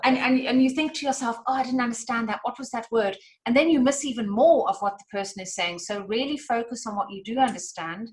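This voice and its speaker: A woman's voice: a little high, fast talker, hardly any pauses. A woman who is a native English speaker and a language coach, chatting casually with many fillers and restarts. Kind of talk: casual podcast chat.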